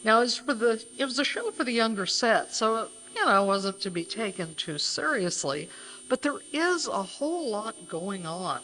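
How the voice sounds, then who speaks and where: A woman's voice: on the high side, expressive, normal speed, a bit bright, a little hiss or hum, rough recording. A woman co-presenting a live show, giving a semi-scripted introduction in a conversational, explanatory manner. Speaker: co-presenter of a radio re-creation troupe. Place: live stage at a banquet.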